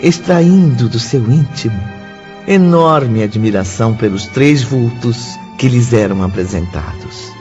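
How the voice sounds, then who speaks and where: A man's voice: mid-range voice, measured pace, a little mellow, close to an everyday voice. A man with a Brazilian accent narrating a scripted radio drama. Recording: dull recording with faint music.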